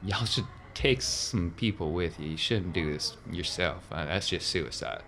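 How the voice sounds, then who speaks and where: A man plays a gruff sci-fi hangar boss in a tabletop game, speaking in a low voice. He is blunt and protective, with clipped, folksy phrasing. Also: lively intonation, unhurried, fairly bright tone, a little hiss or hum, full character voice. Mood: protective, matter-of-fact. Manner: terse, blunt, drawling folksy grammar. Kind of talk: improvised tabletop roleplay.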